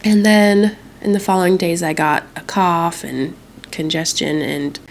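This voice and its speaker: A woman's voice: fairly low pitch, steady tone, unhurried, slight background noise. A young woman vlogging casually from home, chatting in a rambling way with a stuffy, congested nose. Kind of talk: casual chat to camera.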